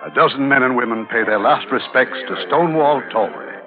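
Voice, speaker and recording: A man's voice: medium pitch, a little fast. A man working as announcer, reading scripted narration for a 1950s radio drama. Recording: narrow old radio with music.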